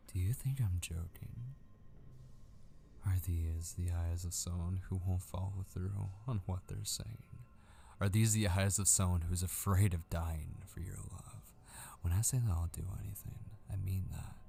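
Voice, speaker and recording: A man acting as an obsessive, menacing male kidnapper in an intimate ASMR roleplay, slow and taunting, often dropping into whispers. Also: deep voice, gravelly, dark tone, a little hiss or hum, heavily acted. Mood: intense, insistent.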